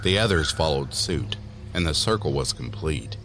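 A man giving measured, deliberate narration for a scripted horror audio drama. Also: a bass voice, lively intonation, a bit bright, slight background noise. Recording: voice over background music.

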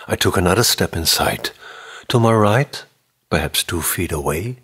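Older guy in his 60s reading a mystery audiobook, kinda low and raspy, tense and dramatic. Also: a bit bright. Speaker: audiobook narrator. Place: indoor room, office or home studio. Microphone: close condenser microphone on a boom arm.

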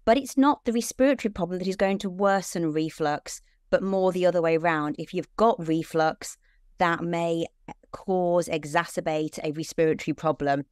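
A British woman in her forties, a children's lung doctor, explaining clearly and steadily on a video call from a room at home. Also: fairly low pitch, moderate pace, darkish tone, no room sound, studio quality. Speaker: paediatric respiratory consultant. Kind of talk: answering questions in an online talk.

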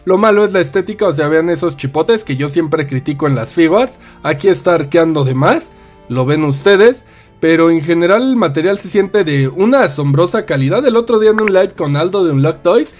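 High-voiced Mexican man reviewing toys. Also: lively intonation, brisk, faint music behind. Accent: Mexican Spanish. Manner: chatty, candid and opinionated. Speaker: toy reviewer. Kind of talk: casual unboxing review.

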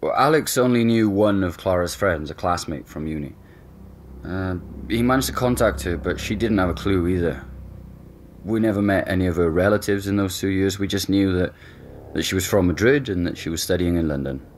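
Man doing relaxed young guy, very low voice, joking, hesitant fillers. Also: fairly quick, a little hiss or hum, close to an everyday voice. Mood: casual. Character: easygoing young man, boyfriend who owns the house. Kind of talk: audio drama acting.

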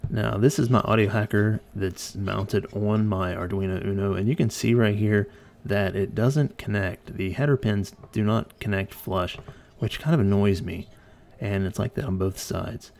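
A man's voice: lowish voice, moderate pace, vocal fry, darkish tone. A man giving a casual, explanatory electronics tutorial narration in an informal way. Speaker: host of an electronics tutorial channel.

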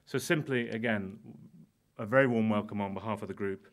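Male forties host, lectern welcome, halting delivery with frequent restarts. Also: fairly low pitch. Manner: halting, many stammered restarts. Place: conference hall, indoors. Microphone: lectern mic.

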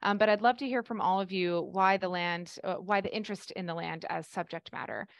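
A woman moderating a panel as curator, speaking in a friendly, measured way with a few fillers. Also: fairly low pitch, steady tone, gravelly, dry recording.

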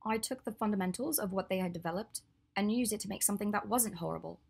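A woman reading the part of an earnest, clever young witch, her voice a little raised and husky. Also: mid-range voice, quick pace, darkish tone, voice pushed up. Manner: earnest, then sulky and teasing.

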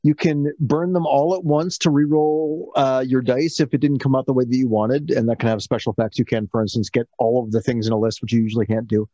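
A man's voice: medium pitch, little pitch movement, a little fast, hardly any pauses, dark tone, no echo. A man casually explaining game rules on a group video call, repeating phrases as he gets started. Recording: dull band-limited online call.

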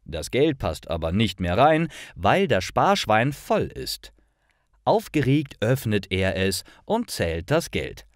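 A man reading scripted stories for language learners, with deliberate, careful phrasing. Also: medium pitch, very animated, dry recording, studio quality.

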